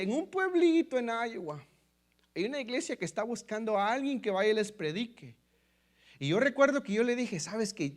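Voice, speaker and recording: A Mexican man with a Mexican Spanish accent, a pastor telling his testimony in a sermon, often calling his listeners brothers and acting out the dialogue. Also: sits high. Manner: storytelling, repeatedly addressing listeners as brothers; lively, acts out quoted dialogue.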